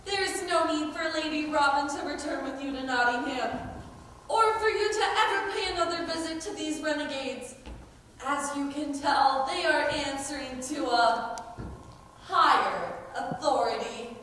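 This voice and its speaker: A young woman acting a sly schemer who poses as a pious nun in an amateur stage comedy, preachy and deliberate. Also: sits high, a bit bright. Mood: smugly threatening. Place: high school play on a stage. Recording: echoey hall from far off, faint noise.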